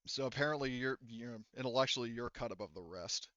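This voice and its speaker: A man's voice: medium pitch, fast talker, fairly bright tone, no echo, dull audio, lightly acted. A man voicing a cold, condescending, unrepentant scientist villain in a tabletop roleplaying game, speaking formally.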